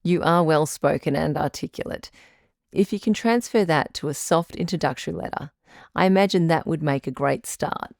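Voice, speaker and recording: An actress playing a calm, reassuring doctor who hosts a radio advice show, measured and frank in an audio drama. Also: female voice, sits low, creaky, no echo, clean studio sound. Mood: gentle, encouraging. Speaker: radio call-in host.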